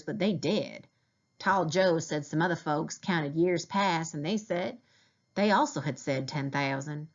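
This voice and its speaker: A woman with a fairly low voice narrates a children's historical diary aloud, in the style of a teacher reading to pupils. The recording sounds a little dull and muffled.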